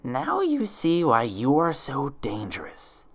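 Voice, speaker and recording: A man's voice: mid-range voice, huge pitch swings, pitched above the performer's own voice, full character voice. Man as a taunting, disdainful evil mare. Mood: cruel taunting. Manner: disdainful, slow taunting, hissing. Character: mocking, cruel female impostor pony, sinister double.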